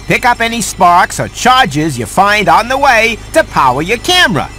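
An actor playing a sarcastic, fussy house cat who wisecracks his way through an animated video game. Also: sits high, normal speed, full character voice. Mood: wry, instructing. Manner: wisecracking, sardonic.

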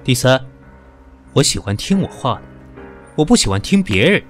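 A man acting in an audio drama as a cool, sharp-tongued professional who is weary and dismissive of his pushy lover. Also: mid-range voice, big pitch swings, moderate pace, soft background music, natural voice. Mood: cold, dismissive.

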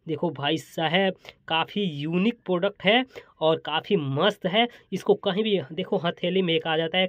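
Man, fairly high voice, casual product showcase host, exclamatory hype, repetitive phrasing, slightly muffled sound. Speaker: host of a toy and gadget showcase channel. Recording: slightly dull, echoey room recording.

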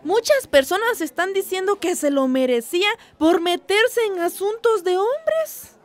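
A woman's voice: extremely high pitch, lively intonation, a little slow. An actress with a Mexican accent playing a weary, outspoken village woman in a radio drama. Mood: fed up.

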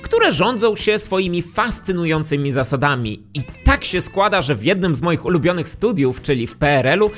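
A man's voice: fairly high pitch, big pitch swings, normal speed, few pauses, a bit bright. A man in his thirties presenting a scripted vlog to camera on a close studio microphone. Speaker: YouTube host. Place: small decorated home studio. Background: faint music.

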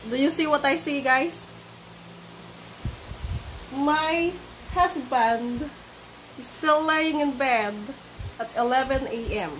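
A woman's voice: high voice, slow pace, long pauses, a little hiss or hum, rough recording. A woman in her thirties chatting casually into a handheld phone camera on a home vlog and laughing often. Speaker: vlogger. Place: house under renovation in the countryside.

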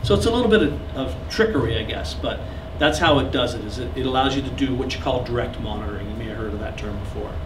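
A man's voice: mid-range voice, expressive, quick pace, few pauses. A man in his thirties running a live recording workshop, explaining gear and joking with his audience. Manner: chatty, joking with the audience, gesturing while explaining. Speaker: recording clinic instructor. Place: small classroom with seated audience. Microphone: camera at the back of the room. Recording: echoey room heard from far off, noisy.

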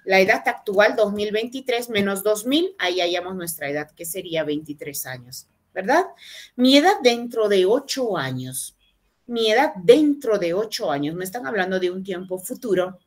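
A woman's voice: medium pitch, animated. Patient woman teaching math. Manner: patient, repeats each phrase slowly. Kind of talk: online class explanation. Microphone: webcam on video call.

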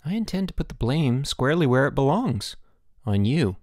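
A man's voice: medium pitch, very animated, normal speed, darkish tone, no echo, studio quality, pitched above the performer's own voice, lightly acted. A man voicing an anxious but defiant schoolboy in an audiobook. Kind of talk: audiobook character voice.

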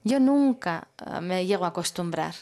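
A woman working as a simultaneous interpreter at a public talk. She speaks in measured phrases and pauses while she searches for the next word. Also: lowish voice, expressive, mellow.

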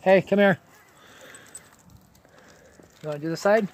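A man's voice: high voice, a little slow. A man vlogging outdoors on a rocky hike, chatting casually and calling to his dog in a raised, coaxing voice, a little out of breath from climbing. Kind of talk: casual running commentary while hiking. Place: outdoors on rocky canyon slopes. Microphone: handheld camera held while climbing.

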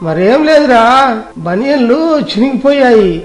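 A man's voice: extremely high pitch, animated, moderate pace, a little mellow. A man playing a chatty village uncle, a customer in an audio moral story. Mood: casual, chatty. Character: village uncle, an older casual male customer. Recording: echoey room sound, music behind.

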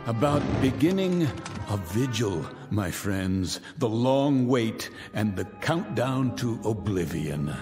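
A smug doomsaying tycoon. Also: male voice, lowish voice, very animated. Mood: menacing calm. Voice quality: smooth, menacing, deliberate. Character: wealthy cynical man awaiting doom in bunker. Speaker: Mr. Raiden, bunker owner. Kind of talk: radio drama acting. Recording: long reverb with music beneath.

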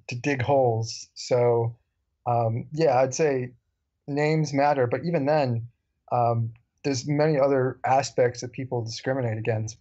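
A man's voice: medium pitch, a little mellow. A man co-hosting a podcast, chatting casually and telling anecdotes in a wry way. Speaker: podcast co-host. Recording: dull, lo-fi voice recording.

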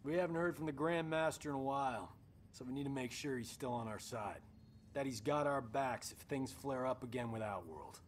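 A man's voice: mid-range voice, moderate pace, slight background noise, close to an everyday voice. A man as a wry action hero. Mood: wry, commanding. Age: middle-aged. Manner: wry, sarcastic. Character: cocky, wisecracking veteran male fighter leading a team. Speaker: team leader. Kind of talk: video game cutscene acting.

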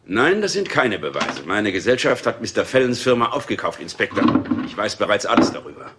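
A man's voice: fairly low pitch, very animated, fairly quick, background noise. An actor dubbing a cool, curt male studio executive in a German crime drama.

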